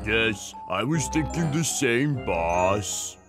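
A woman's voice: extremely low pitch, lively intonation, slow pace, music in the background, heavily acted. A woman voicing a cowardly, dim-witted male thief henchman in a storybook cartoon, hesitant, whiny and fearful. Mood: puzzled.

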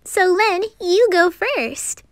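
A woman's voice: very high voice, animated, slow, dry recording, pitched above the performer's own voice, heavily acted. A woman playing a perky, teasing teenage pop-idol girl in a hobby anime audio drama. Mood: playful, teasing. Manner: bubbly, teasing.

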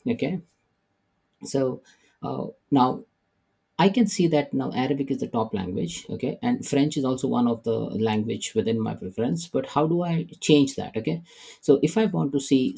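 Gravelly, low-toned man explaining software. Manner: hesitant, many "uh" and "okay" fillers. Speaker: tech tutorial presenter. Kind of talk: screen-recorded software walkthrough.

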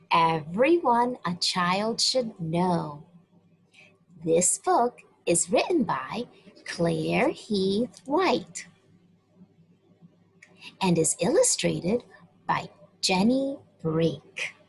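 Brief: woman storyteller for kids, very slow, lively pitch, breathy husky tone, webcam mic. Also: low-quality recording. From United States. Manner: slow, expressive storytelling to children. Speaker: children's story reader. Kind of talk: read-aloud for children.

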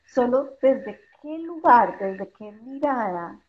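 An emphatic woman in her forties talks at a fairly high pitch, throwing in rhetorical questions and acting out what parents would say. She speaks over a video call from a room at home. The sound is dull and lo-fi. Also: animated, takes it slowly. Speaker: guest speaker. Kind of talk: explanatory interview talk.

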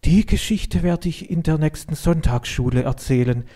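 A man's voice: a little high, moderate pace, mellow, pitched above the performer's own voice, lightly acted. A man voicing an earnest, curious schoolboy in a children's story reading. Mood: eager.

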